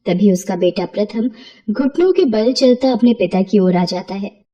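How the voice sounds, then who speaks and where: A woman narrating a scripted bedtime moral story in an expressive storytelling manner. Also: medium pitch, moderate pace, lo-fi sound.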